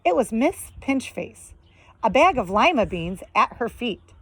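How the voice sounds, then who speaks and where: A woman, a teacher, narrating a children's story aloud in a deliberate, expressive way. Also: on the high side, husky, dry recording. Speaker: second grade teacher reading aloud.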